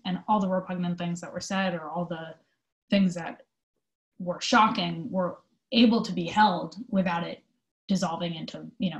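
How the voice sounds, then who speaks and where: A woman speaking as an interview guest, giving thoughtful, conversational answers in an earnest, halting way with emphasis on key words. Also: on the low side, poor audio. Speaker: interview guest, theatre company manager.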